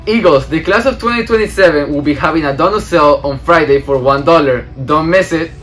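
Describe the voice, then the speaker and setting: A man's voice: a little high, brisk, a bit bright. Teen guy reading school announcements, bit of music behind. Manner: reads with small slips and restarts. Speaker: student news anchor.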